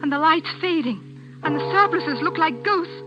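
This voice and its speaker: A woman's voice: sits high, lively intonation, normal speed. An actress playing a clergyman's anxious, insistent young daughter in a dramatic 1940s radio style. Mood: uneasy, anxious. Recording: muffled vintage radio recording, music behind.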